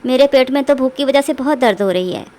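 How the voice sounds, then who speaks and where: Woman acting a humble, high-voiced village wife. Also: quick pace, a little mellow, lightly acted. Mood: pained protest. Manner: polite, earnest, pleading. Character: poor, hardworking, humble, pleading young village wife. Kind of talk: animated Hindi moral story acting.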